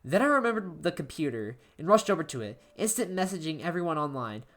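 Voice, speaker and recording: Low, animated woman narrating horror. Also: quick pace. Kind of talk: amateur horror story narration.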